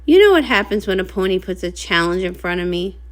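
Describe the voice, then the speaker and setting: A woman's voice: lowish voice, sing-song, brisk, pitched below the performer's own voice. A woman voicing a strong, easygoing male pony digger with a modest, friendly manner for a storybook. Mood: supportive, confident.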